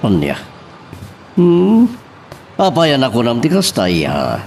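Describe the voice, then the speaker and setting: A man's voice: medium pitch, huge pitch swings, slow pace, a little mellow, faint music behind, exaggerated voice. A man playing an older, indulgent father and businessman in an audio drama, speaking expressively with an Ilocano Filipino accent. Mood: questioning. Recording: faint background noise.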